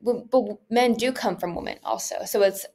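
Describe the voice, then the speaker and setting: A young woman with a medium-pitched voice co-hosts a casual discussion. She puts questions in an inquisitive, slightly tentative way, thinking them through as she speaks. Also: normal speed, darkish tone. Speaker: co-host asking questions. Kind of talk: casual question-and-answer chat.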